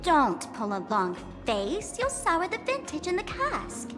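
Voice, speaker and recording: A high-pitched, mischievous young woman speaks in swooping, teasing phrases, theatrical like a game character. Her voice rings in long reverb with music behind it. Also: huge pitch swings, unhurried, full character voice. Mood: wry, playful. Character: playful, mischievous young woman running a tavern gathering intel. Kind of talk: video game cutscene acting.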